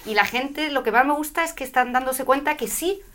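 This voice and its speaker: A middle-aged woman from Spain, telling her story as an interview guest with an animated, spiritual way of talking. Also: high voice, a little fast. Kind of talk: conversational interview.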